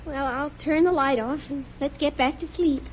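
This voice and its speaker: A woman's voice: sits high, fairly even intonation, moderate pace, natural voice. An actress playing a nervous, bickering housewife and mother, exclaiming and scolding in 1950s radio sitcom style. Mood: exasperated. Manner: exclamatory, scolding wifely delivery. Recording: muffled noisy old radio, loud audience.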